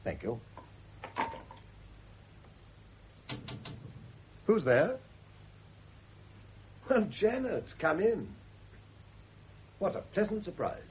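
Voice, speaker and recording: A man's voice: sits high, big pitch swings, slow, husky, exaggerated voice. An actor playing a wealthy, brooding, urbane Englishman in a radio drama, with a British accent and a theatrical, educated delivery. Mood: brisk, urbane. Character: wealthy, self-reproaching married Englishman, brooding yet urbane. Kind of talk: mid-century radio drama acting. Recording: dull old radio recording, faint noise.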